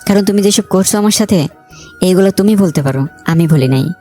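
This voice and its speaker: A woman's voice: medium pitch, fairly quick, mellow, soft background music, pitched below the performer's own voice, natural voice. A woman voicing a cold, vengeful young man in a romantic story, with a curt, commanding manner. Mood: cold, resentful. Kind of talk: dramatised romantic story dialogue.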